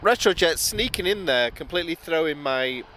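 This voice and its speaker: A man's voice: fairly high pitch, lively intonation, normal speed, pushed and bright, rough recording. A man from the UK with a British accent, giving casual, chatty live commentary as the host of a plane-spotting stream outdoors. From United Kingdom. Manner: informal, chatty. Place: outdoors by an airport. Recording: outdoor noise, aircraft and vehicle ambience. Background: outdoor urban and vehicle noise.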